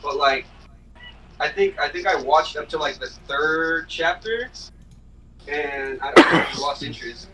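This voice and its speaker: A man rambles playfully through a casual chat among gamers. His voice is bright and a little high, and it comes from well back from the mic over a faint hiss. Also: measured pace, distant mic, rough recording. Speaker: player.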